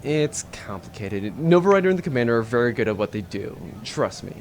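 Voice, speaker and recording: A man's voice: medium pitch, very animated, brisk, a little hiss or hum. A man playing a melodramatic crew member of a spaceship in a comedic audio drama. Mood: dry, awkward.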